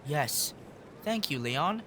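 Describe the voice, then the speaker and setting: A determined young girl detective, guarded and earnest. Her voice moves widely in pitch, as in a radio play, over clear background noise. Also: very animated, slow, a bit bright, heavily acted. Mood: surprised, resolute. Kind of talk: audio drama acting. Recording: clearly noisy background ambience.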